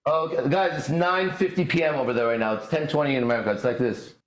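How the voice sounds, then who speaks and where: A man's voice: a little high, quick pace, echoey room, poor audio. A man from Iran hosting a podcast, telling personal stories in an emphatic, profane way and speaking straight to his listeners. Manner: emphatic, profane storyteller who talks to his audience directly. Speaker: podcast host. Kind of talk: motivational storytelling monologue on a livestream.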